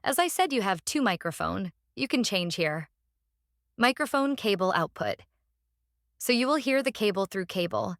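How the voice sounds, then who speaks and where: A woman with a medium-pitched voice walks through a software setup step by step. Her delivery is casual and instructional, with frequent little check-ins to the listener. The sound is dry and close, with very little room in it. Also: animated, moderate pace, no echo. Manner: instructional, often checking with "right?". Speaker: tutorial host.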